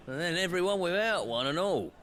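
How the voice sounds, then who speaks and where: Aggrieved male villager, lightish high pitch, everyday game-drama delivery, faint ambience. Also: lively intonation, fairly quick, a bit bright, slight background noise. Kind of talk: video game cutscene acting.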